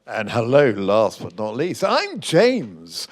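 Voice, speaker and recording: Lively, jokey man in his sixties. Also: mid-range voice, slow pace. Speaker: panelist, retired army officer.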